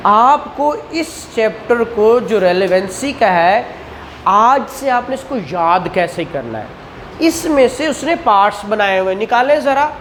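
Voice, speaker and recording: Over background noise, a man with a Pakistani accent teaches in a fairly high voice. His delivery is very slow and didactic. He keeps putting questions to his students and repeats the answers for them. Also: animated, a bit bright. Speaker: law lecturer. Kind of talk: classroom exam-prep lecture.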